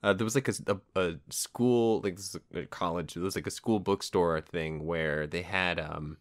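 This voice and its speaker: A man's voice: deep voice, normal speed. A man hosting a podcast in a casual, informal interview style, rambling as he puts his questions and comments. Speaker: podcast host.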